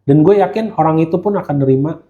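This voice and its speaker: A man's voice: a little high, quick pace, phone-quality sound. An Indonesian man in casual podcast conversation, talking slangily and slipping English phrases into his speech. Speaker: guest gemologist who hosts live streams.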